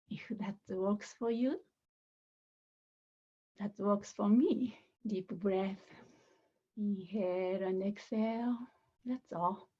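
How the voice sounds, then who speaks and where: A woman meditation teacher leading a guided meditation softly and gently, with many pauses and a breathy voice that often nears a whisper. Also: mid-range voice, highs cut off.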